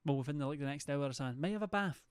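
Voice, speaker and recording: A young man chats loosely, swearing and rambling as he reads messages from his stream's chat. His voice sits a touch high for a man. The sound is close and completely dry. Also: racing, clean tone, dry recording. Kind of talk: casual chat reading viewer messages.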